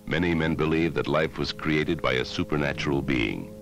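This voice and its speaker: A man narrating a scripted educational film in a measured, dramatic way, on a 1960s film soundtrack. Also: rumbling low, creaky, over music. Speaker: documentary narrator.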